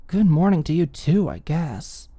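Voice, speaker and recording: Woman voicing a cool, teasing, dark-toned young roommate. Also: deep voice, sing-song, measured pace, no room sound, exaggerated voice. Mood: teasing nonchalance. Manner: breezy, sardonic, feigned indifference.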